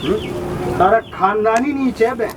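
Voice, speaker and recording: A man's voice: high voice, measured pace. A man playing someone who scolds and mocks a family harshly. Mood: mocking. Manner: mocking, scolding. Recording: distant voice over loud noise.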